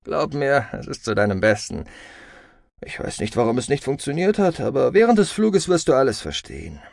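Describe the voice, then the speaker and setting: A man acts out a brash, commanding man in an audiobook story. He is impatient and sardonic, stern yet smugly reassuring, and his pitch moves widely. The sound is dry and close. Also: sing-song, normal speed, gravelly, darkish tone, no room sound. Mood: urgent, persuasive.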